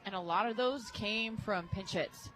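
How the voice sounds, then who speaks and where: An American woman working as a color analyst on a live softball broadcast, conversational and emphatic. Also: mid-range voice, unhurried, over music, crowd noise, low-quality recording. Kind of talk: live sports commentary, casual analysis. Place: radio booth at a ballpark.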